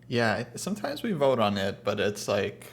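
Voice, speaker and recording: A man's voice: on the low side, normal speed. A man, chatting easily while commentating a chess game. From United States, raised in California. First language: Russian. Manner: relaxed, hedging, lots of fillers. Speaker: chess commentator, international master. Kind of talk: casual chess commentary chat.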